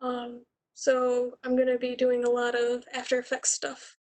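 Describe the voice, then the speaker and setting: A woman's voice: on the high side, completely flat delivery, a little slow, a little mellow. A young woman, a student director, answering questions informally about her own project. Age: young adult.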